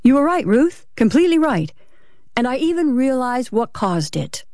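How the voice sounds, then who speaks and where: A woman's voice: high-pitched, very animated, normal speed, a little mellow, no echo, studio quality, pitched above the performer's own voice. Casting: woman playing a nervous husband in an audiobook, guilty and placating, choosing words carefully and faltering. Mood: careful, forced calm. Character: nervous, evasive husband lying to his suspicious wife.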